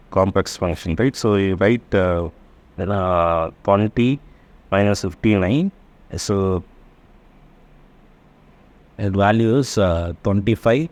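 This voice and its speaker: A man giving a step-by-step tutorial as an engineering lecturer, halting as he works through math and checking that listeners follow. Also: on the low side, a little mellow.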